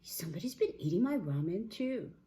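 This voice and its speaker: A woman's voice: medium pitch, sing-song, brisk, warm tone, voice pushed up, natural voice. A woman voicing a fussy, dismayed mama bear in a storybook tale, exclaiming as she goes.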